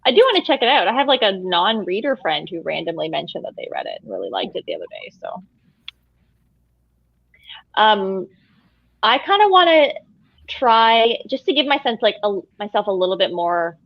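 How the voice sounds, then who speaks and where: A woman's voice: medium pitch, sing-song, brisk, vocal fry, no room sound. Woman host, rambly book chat, dry muffled sound. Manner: rambling, restarts, self-deprecating laughs. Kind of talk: casual group chat on video call. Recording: dull lo-fi sound.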